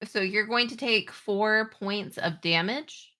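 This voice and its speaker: A woman game master improvising narration and rules in a halting, conversational way. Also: medium pitch, bright, forceful tone. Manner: halting, conversational, pieced-together descriptions. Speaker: game master of a tabletop roleplaying game.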